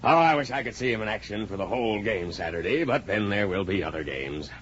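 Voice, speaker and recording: A deep-voiced, wealthy American gentleman in old radio drama. He sounds middle-aged, hearty and pleased with himself. The recording is muffled with faint noise. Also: lively intonation, fast. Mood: proud, self-satisfied. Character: wealthy, hearty, self-important middle-aged father, sports enthusiast. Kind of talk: 1940s radio acting. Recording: muffled, noisy vintage 1940s radio broadcast.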